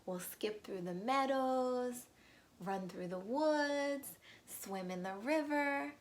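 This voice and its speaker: Eager frog friend played by a woman for a children's story: higher voice, cheerful, pleading, unhurried.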